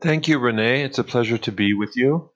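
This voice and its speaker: A middle-aged man with a light Israeli accent, answering interview questions thoughtfully with hesitant pauses. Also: medium pitch, dull audio. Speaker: guest scholar.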